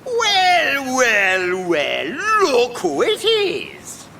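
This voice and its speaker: Creaky, blustering cartoon pirate. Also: male voice, very high voice, huge pitch swings, extremely slow, bright, forceful tone, distant mic, soft background music, heavily acted. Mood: gloating, threatening. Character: blustering, would-be fearsome pirate henchman.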